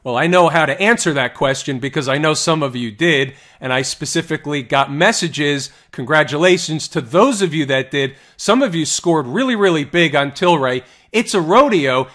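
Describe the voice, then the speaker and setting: Upbeat male trading coach, fairly high voice. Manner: energetic, rhetorical questions, sports metaphors. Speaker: trading educator. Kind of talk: stock market chart analysis. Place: voice-over on screen recording.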